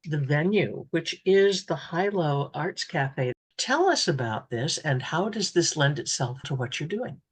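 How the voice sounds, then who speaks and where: A woman's voice: deep voice, lively intonation, measured pace, hardly any pauses, slightly rough. A woman hosting a radio interview, asking questions and giving announcements.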